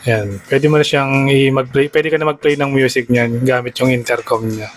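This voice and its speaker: A man's voice: mid-range voice, steady tone, moderate pace, a little hiss or hum. A man with a Filipino accent narrating a step-by-step product tutorial for a vlog in a plain, conversational, instructional way. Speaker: motorcycle vlogger giving a product tutorial.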